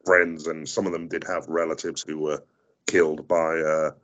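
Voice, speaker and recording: Deep voiced guy, greek is his first language, lots of uhs and restarts, chatting as a guest. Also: level tone, normal speed, fairly bright tone. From South Africa. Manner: hesitant, many fillers and restarts. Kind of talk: casual interview talk.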